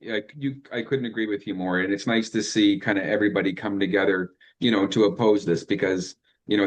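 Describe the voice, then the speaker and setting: Deep-voiced relaxed male podcast co-host. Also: low-quality recording. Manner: relaxed, loose with fillers and asides. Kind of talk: casual podcast hosting.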